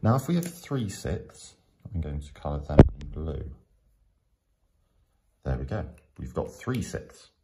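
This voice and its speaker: A man teaching in a step-by-step tutorial, explaining patiently with frequent pauses. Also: rumbling low, very animated, creaky, noisy background. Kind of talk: step-by-step maths tutorial narration.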